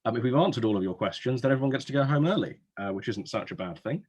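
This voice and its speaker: A man's voice: mid-range voice, animated, fast talker, a little mellow, slightly rough. A man hosting an informal webinar Q&A as an admissions adviser, chatty, with wry asides. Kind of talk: informal Q&A hosting, reading out audience questions. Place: online webinar.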